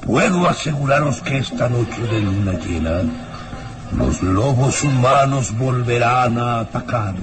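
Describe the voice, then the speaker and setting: A man acts out a scornful baron from a radio melodrama. He speaks slowly and portentously, with big swings in pitch, as if pronouncing doom. Dramatic music plays behind him. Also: lively intonation, lightly acted. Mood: ominous warning. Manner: theatrical, drawn-out, foreboding delivery. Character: ominous, scornful baron obsessed with human wolves.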